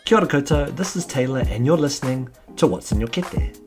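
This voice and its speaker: A man hosting a podcast, introducing guests and asking measured questions. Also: medium pitch, expressive, music in the background. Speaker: podcast host.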